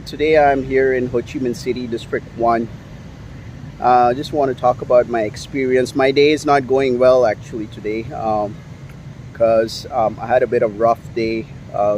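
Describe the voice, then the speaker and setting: A man's voice: medium pitch, a little mellow, rough recording. Man from India, outdoor vlogger with a mid voice, filler-heavy and frustrated, light street noise. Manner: rambling, many fillers, frustrated complaining. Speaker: YouTuber. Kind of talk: casual vlog monologue. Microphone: handheld camera.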